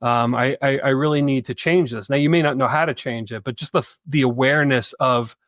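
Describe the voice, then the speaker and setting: A man's voice: medium pitch, fairly quick, a bit bright, no echo, clean studio sound. A man co-hosting a podcast, speaking earnestly and repeating words for emphasis. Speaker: podcast co-host. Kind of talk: reflective conversation.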